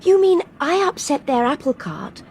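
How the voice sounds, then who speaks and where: A woman's voice: sits high, big pitch swings, moderate pace, darkish tone. An actress playing an anxious but stubborn young Englishwoman on a train, in a British radio drama. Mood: earnest, insistent. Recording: noisy train background ambience.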